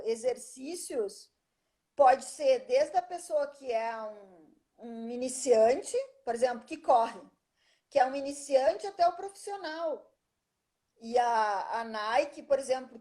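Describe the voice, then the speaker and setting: High-voiced woman telling a story. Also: warm tone. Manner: chatty storytelling, frequent "né?" tag questions. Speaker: guest, teacher. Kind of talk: casual interview conversation.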